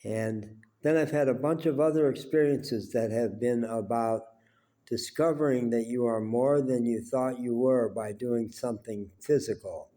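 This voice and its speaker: A man in his seventies with a cold and a stuffy nose, talking slowly and reflectively at home in a rambling personal monologue. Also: medium pitch, mellow. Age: 70s. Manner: unhurried, rambling, with frequent pauses and fillers. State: congested with a cold. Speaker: vlogger. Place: small home indoors, quiet.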